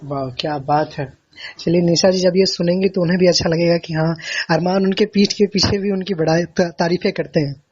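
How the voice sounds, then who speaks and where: Male interviewer, high husky voice, effusive and repetitive, conversational, muffled call-recording sound. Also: steady tone, moderate pace. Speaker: interviewer, radio-style host. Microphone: recorded phone call. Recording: dull, lo-fi phone call recording.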